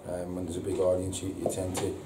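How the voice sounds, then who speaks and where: A man, a football manager giving a halting post-match interview full of repeated words and ums. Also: low voice, monotone. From England. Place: indoor press room before a sponsor board. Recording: echoey room, distant mic, audible hiss.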